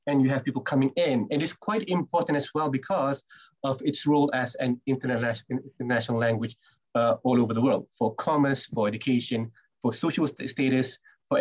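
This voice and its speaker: A man's voice: mid-range voice, a little mellow, slightly rough. A man from Malaysia, a Malay speaker with a Malaysian English accent, giving a chatty talk as an English teacher, often restarting words and using "you know". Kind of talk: presentation on a video call. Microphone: video call mic. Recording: dull lo-fi video call audio.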